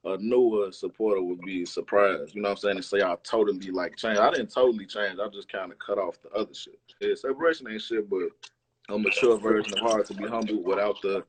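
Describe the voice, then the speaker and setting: Low-voiced slangy man chatting casually. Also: fairly even intonation, a little fast, breathy edge. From Chicago, United States. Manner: slangy, profane, informal. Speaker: rapper, interview guest. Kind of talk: casual chat. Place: remote livestream interview.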